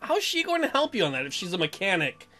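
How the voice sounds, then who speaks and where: A man hosts a let's play in a high, very animated voice. His commentary is casual, crude and full of jokes. Game music plays behind him. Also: fast talker. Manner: irreverent, crude, jokey.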